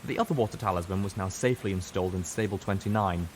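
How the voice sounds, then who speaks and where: A man's voice: low-pitched, fast talker, a little mellow, a little hiss or hum. A man reading a scripted first-person story as a dramatic narrator. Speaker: audiobook reader.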